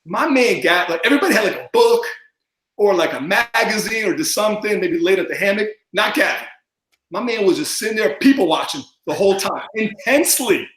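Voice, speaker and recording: Fast-mumbling man, high-pitched. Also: expressive, fairly bright tone, roomy sound. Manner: rambling with many fillers. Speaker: guest. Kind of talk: casual podcast chat.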